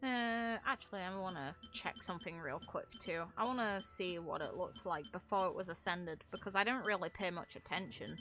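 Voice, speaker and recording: A woman's voice: lowish voice, moderate pace, few pauses, fairly bright tone. A woman chatting casually as she streams a video game, rambling in a wry, mildly sweary way. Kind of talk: casual live stream chat while playing a video game. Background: game music.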